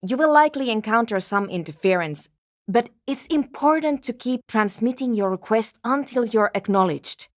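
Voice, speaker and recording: A woman's voice: on the high side, lively intonation, normal speed, no echo, clean studio sound, close to an everyday voice. A woman playing an earnest, exacting programmer and radio technician in a video game, speaking in precise, formal, clipped technical phrases. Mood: businesslike. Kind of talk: video game character dialogue.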